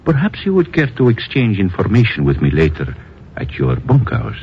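An actor playing a suave, amused schemer with a Spanish accent, speaking in a courtly, sly drawl in 1950s radio drama style. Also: male voice, low voice, wildly swooping pitch, normal speed. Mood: insinuating, pleased. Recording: muffled, hissy old radio recording.

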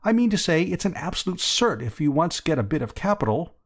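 A hapless young upper-class nephew from a comic storybook, acted by a male reader. He rambles earnestly in a flustered, raised voice, naively hopeful and up and down in pitch. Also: big pitch swings, fairly quick, slightly rough, heavily acted. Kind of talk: audiobook character dialogue.

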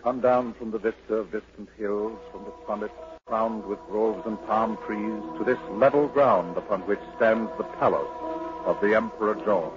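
A man's voice: fairly low pitch, fairly even intonation, music in the background. Dramatic male narrator, dull old radio sound. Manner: dramatic, poetic, measured.

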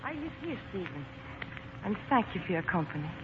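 Gentle wistful young woman played by an actress, old radio. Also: mid-range voice, very animated, a little fast, background noise, close to an everyday voice. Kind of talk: 1940s radio acting. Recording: tinny 1948 radio broadcast, music.

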